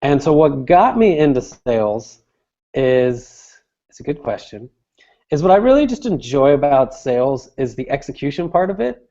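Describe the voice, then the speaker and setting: A man's voice: medium pitch, sing-song, moderate pace, warm tone, dull audio. A man giving conversational, explanatory interview answers as a guest, restating his phrases as he goes. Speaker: interview guest, sales director.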